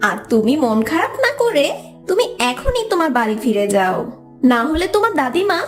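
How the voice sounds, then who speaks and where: A woman voicing a gentle, moralizing young green mango in a children's cartoon, earnest and admonishing. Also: sits high, very animated, normal speed, faint music behind. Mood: gently admonishing.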